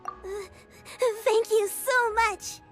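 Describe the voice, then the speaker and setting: A woman's voice: extremely high pitch, steady tone, slow pace, music in the background. A woman playing a polite, earnest young girl in a video game, hesitant and apologetic.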